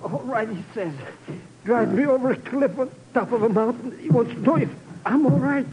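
An actor playing a jittery, fearful, complaining comic sidekick in a 1950s radio drama, stammering and exclaiming indignantly. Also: male voice, extremely high pitch, moderate pace, a little mellow. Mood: grumbling. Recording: dull, noisy old radio recording.

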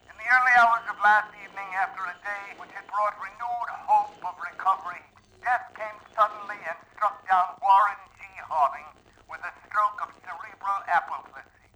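An actor playing a solemn 1920s newsreader, formal and declamatory, heard through a shortwave radio. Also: male voice, high-pitched, normal speed, pauses often, sharp tone. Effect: shortwave radio filter. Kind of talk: old-time radio news announcing. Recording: crackly shortwave radio with faint noise.

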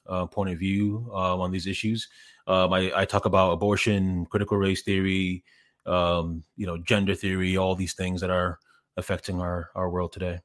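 A man in his thirties, from Ghana, talking as a podcast guest in a relaxed, halting way with many fillers and restarts. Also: low-pitched. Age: 30s. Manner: hesitant, many fillers and restarts, laughs easily. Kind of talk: casual interview chat.

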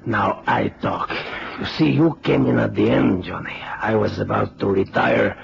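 An actor playing a smug, courteously cruel Mexican crime boss with a Mexican accent and formal, mocking phrasing in a vintage radio drama. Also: male voice, on the low side, sing-song, unhurried, gravelly, full character voice. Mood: smug, amused. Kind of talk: 1970s radio drama acting. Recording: muffled, hissy old radio recording.

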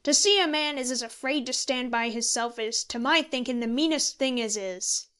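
A woman voicing a scheming, impatient old male pensioner who bullies in forceful, rustic speech for an audiobook story. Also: high voice, normal speed, clean tone. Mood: impatient, urging.

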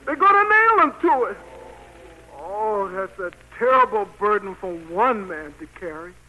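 A woman's voice: medium pitch, very animated, measured pace, sharp tone. A woman playing an anguished, wailing onlooker in a 1940s radio drama. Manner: wailing, exclamatory. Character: anguished onlooker in heaven watching a crucifixion. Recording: noisy vintage 1940s radio broadcast.

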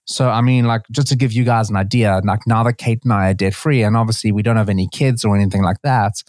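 A man's voice: on the low side, fast, dry recording, studio quality. A man co-hosting a casual podcast, talking loosely with lots of fillers. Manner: relaxed, filler-heavy, says "like" often. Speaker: podcast co-host.

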